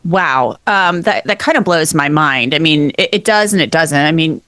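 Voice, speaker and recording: A woman's voice: sits low, expressive, normal speed, fairly bright tone, dry recording, clean studio sound. A woman conducting a conversational interview, thinking aloud and rephrasing her questions as she goes.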